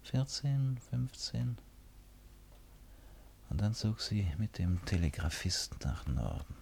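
A man's voice: on the low side, big pitch swings, slow pace, creaky, darkish tone, slight background noise, full character voice. An actor playing a brooding, ironic, impulsive man who muses theatrically to himself in a radio play. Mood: wistful, intimate musing. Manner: musing, self-questioning, theatrical. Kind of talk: radio play acting, interior monologue. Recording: studio radio play with faint music.

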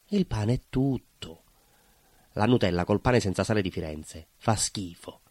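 A nostalgic southern Italian baker, acted by a man, tells his story as a theatrical monologue in a mid-pitched, very animated voice. He is proud and naive, rambling in everyday speech and leaning hard on his points. The sound is dry, with no room around it. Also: dry recording, lightly acted. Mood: indignant. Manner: colloquial, rambling, emphatic, repeats himself. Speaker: stage actor.

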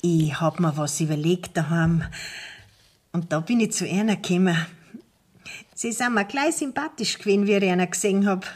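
Radio drama: woman plays chatty Bavarian widow in middle age, husky, folksy, aggrieved and keen on profit. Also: lowish voice, moderate pace, a little mellow, close to an everyday voice. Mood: confiding, grumbling. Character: talkative, aggrieved, wheedling sawmill widow, eager for profit. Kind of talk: dialect radio play acting.

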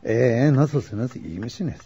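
Very husky, mellow kindly father. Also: mid-range voice, animated, slow, full character voice. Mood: genial, polite. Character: kindly middle-aged father weighing his daughter's marriage. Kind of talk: Turkish radio theater acting. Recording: muffled lo-fi radio drama recording.

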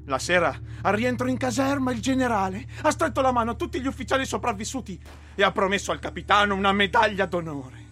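An actor playing a frightened, exhausted and bitter infantry soldier in a theatrical, emotional radio drama style. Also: male voice, high voice, expressive, quick pace, a bit bright, soft background music. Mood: bitter, indignant.

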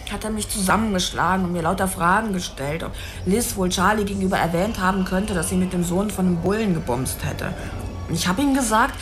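An actress playing a worldly but intimidated witness, confiding hesitantly and colloquially in a radio drama. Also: female voice, mid-range voice, fairly bright tone. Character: worldly, intimidated female witness telling of abuse. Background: music.

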